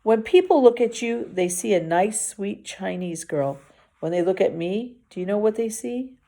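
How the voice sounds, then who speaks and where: A woman voicing a resentful, misjudged man with a grave, deliberate manner in a novel reading. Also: medium pitch, expressive, warm tone, close to an everyday voice.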